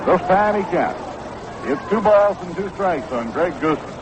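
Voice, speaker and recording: A high-pitched American man gives live baseball play-by-play. The sound is an old 1960s AM radio broadcast from a stadium booth, with clear noise behind him. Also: expressive, a little slow. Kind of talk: live radio sports commentary.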